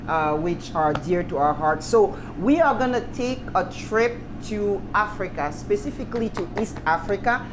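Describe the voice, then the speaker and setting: Cameroonian-accented woman, lowish voice, noisy kitchen. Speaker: guest chef and restaurant owner. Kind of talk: casual presenting to camera. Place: restaurant kitchen, indoors. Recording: clearly noisy kitchen ambience.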